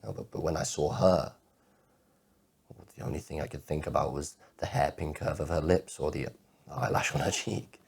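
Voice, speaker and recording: A man performing a dramatic monologue as an anxious, lovelorn man with obsessive compulsive disorder, halting and repeating his words. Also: a bass voice, fairly even intonation, gravelly, slightly rough, heavily acted. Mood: fond, nervy. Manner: halting, stammering repetition of words. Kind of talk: dramatic monologue performance of spoken-word poetry.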